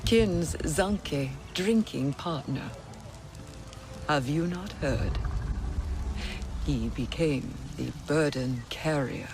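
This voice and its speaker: An actress playing a measured, formal alien clan member who shares gossip and lore in a video game cutscene. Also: female voice, deep voice, expressive, music in the background, full character voice. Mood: solemn, wistful.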